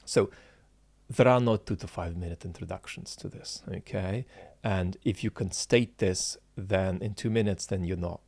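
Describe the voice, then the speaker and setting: Deep-voiced, halting reflective man. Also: gravelly, a little mellow, husky, no room sound. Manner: halting and searching, with frequent fillers and restarts; earnest and reflective, stressing key words. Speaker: political philosopher and channel host. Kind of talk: unscripted solo talk to viewers.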